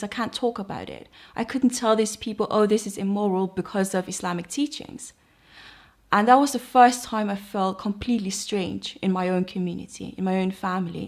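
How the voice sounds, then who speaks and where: A young woman from Denmark with a Danish accent, reflectively telling her personal conversion testimony. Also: medium pitch. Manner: reflective, earnest. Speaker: convert sharing her story.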